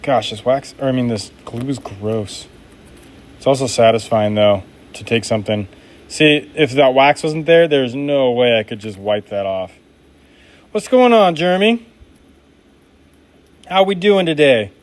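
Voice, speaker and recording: A man's voice: mid-range voice, animated, a little slow, long pauses. A man making knives during a live stream, chatting casually and reading viewer comments aloud in an upbeat, laid-back way.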